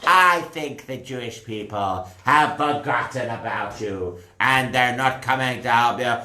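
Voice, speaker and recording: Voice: mid-range voice, measured pace, sharp tone, reverberant, pitched below the performer's own voice. A storyteller voicing a bossy, mean male snake-crocodile king in a children's story, gloating and laughing.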